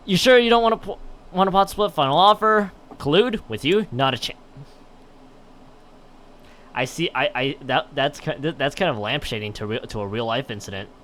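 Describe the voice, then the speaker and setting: A man's voice: a little high, animated, moderate pace, fairly bright tone. A man commentating on a game stream and reading game text aloud in a rambling way, often stumbling and correcting himself. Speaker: live streamer.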